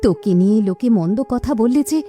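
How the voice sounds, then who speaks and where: Woman acting a soft-toned, careworn widowed mother. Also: fairly high pitch, expressive, normal speed, soft background music, natural voice. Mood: pleading. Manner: plaintive, careworn. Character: weary, pleading widowed village mother. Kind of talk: cartoon voice acting.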